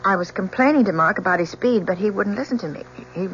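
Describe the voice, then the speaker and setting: An actress playing an anguished, truthful young woman in an old-time radio drama, speaking in a halting, earnest, emotional way. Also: medium pitch, lightly acted. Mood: distraught, insistent. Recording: muffled, noisy old radio drama recording.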